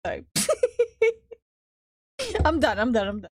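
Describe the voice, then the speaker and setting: A woman's voice: fairly high pitch, expressive, measured pace, slight background noise. A woman from Minnesota co-hosting a stream, playful and teasing, swearing freely in casual banter. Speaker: streamer co-host.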